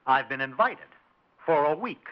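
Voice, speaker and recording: An actor playing an unflappable, smug and enigmatic intruder in a 1960s radio drama, with suave, wry, deliberate phrasing. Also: male voice, fairly high pitch, expressive, very noisy, close to an everyday voice. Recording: dull 1960s radio recording.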